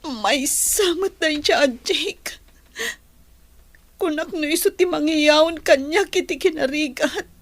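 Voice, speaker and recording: A woman's voice: high-pitched, slow. An actress playing a distressed, ailing young woman in a radio drama, plaintive and tearful, with a Filipino accent. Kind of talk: Ilocano radio drama acting.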